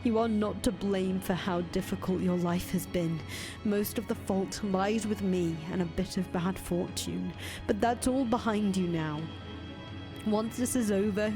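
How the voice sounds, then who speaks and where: A woman voicing a soft-spoken young man condemned as a heretic, talking quietly in breathy whispers. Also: medium pitch, close to an everyday voice. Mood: gentle. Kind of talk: audio drama acting.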